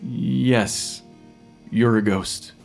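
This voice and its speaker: An earnest forensic pathologist in a fiction podcast. He has a mid-pitched male voice and sounds careful and precise, with a slightly flustered hesitancy. Faint music sits behind him. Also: steady tone, very slow, creaky, a little mellow. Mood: matter-of-fact. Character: awkward, earnest male forensic pathologist who talks to ghosts. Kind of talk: full-cast audio fiction acting.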